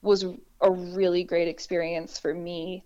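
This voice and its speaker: A woman singer-songwriter with a low voice, talking through how she wrote a song in a relaxed, reflective way with frequent restarts. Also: measured pace, smooth, lo-fi sound. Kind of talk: conversational interview.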